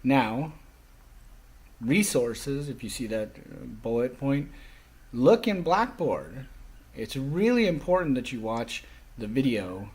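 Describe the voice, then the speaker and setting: A man voicing a classroom lecture as an interpreter, speaking emphatically in halting phrases with stressed key words. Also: medium pitch, sing-song, darkish tone, husky.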